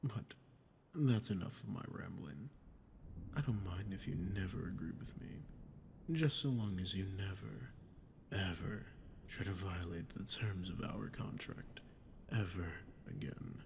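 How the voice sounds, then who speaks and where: A man acting a smug sadistic demon, low gravelly voice. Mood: cold warning. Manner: deliberate, condescending, taunting. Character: sadistic archdemon, smug, menacing, dominant toward a human. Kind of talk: ASMR roleplay audio acting. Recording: clear background noise with low rumble.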